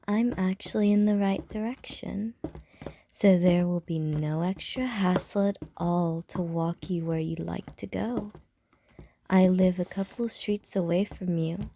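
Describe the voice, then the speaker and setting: Brief: woman playing a seductive female vampire, very slow and low-pitched, dark mellow tone, sly whispered teasing. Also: slight background noise. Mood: flirtatious. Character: flirtatious predatory female vampire stalking a victim. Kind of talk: ASMR audio roleplay.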